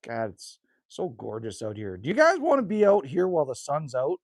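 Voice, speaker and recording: Folksy guy in his 50s rambling to his chat outside the cabin, voice bouncing up and down, kinda high. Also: huge pitch swings, normal speed, darkish tone, dry recording. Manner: folksy, rambling, chatty with viewers. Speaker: livestream host reading chat. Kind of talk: casual livestream chat. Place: outdoors by a rural cabin.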